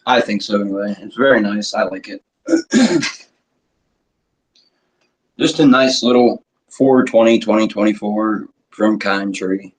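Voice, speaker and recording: Hoarse low-voiced man with a sore throat. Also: measured pace, long pauses, low-quality recording. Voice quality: husky. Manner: frequent throat clearing, offhand swearing. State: sore throat, losing his voice. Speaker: cannabis vlogger. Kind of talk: casual rambling chat to viewers.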